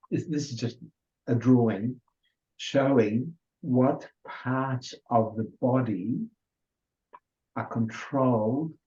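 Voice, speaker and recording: Slow, mellow-voiced older man lecturing. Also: medium pitch. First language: Hungarian. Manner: unhurried, with many restarts and fillers. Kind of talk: informal lecture. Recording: dull lo-fi recording.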